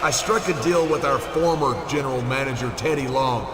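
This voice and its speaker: An actor playing a smug, boastful champion villain in a wrestling video game, pompous and drawling. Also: male voice, medium pitch, fairly quick, lightly acted. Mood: smug, gloating. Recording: echoey noisy arena, loud crowd.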